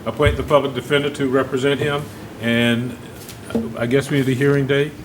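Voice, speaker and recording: A man's voice: medium pitch, flat delivery, fairly quick, background noise. Firm male judge repeating questions. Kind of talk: courtroom bond hearing.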